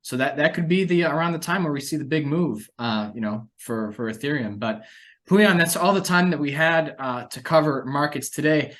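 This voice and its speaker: A man's voice: a little high, normal speed, a bit bright. A man hosting a conversational discussion about financial markets, speaking as an analyst. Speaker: podcast host and market analyst.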